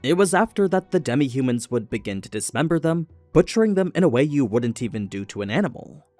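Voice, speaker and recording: A man's voice: mid-range voice, big pitch swings, quick pace, darkish tone, no echo. A man delivering scripted video essay narration in a storytelling way, with rhetorical asides to the listener. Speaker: narrator of an anime recap channel. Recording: voice-over with music underneath. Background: background music.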